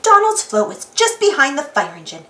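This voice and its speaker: High, animated woman reading a storybook. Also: moderate pace. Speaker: storybook reader. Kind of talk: animated read-aloud children's narration. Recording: roomy, slightly distant mic.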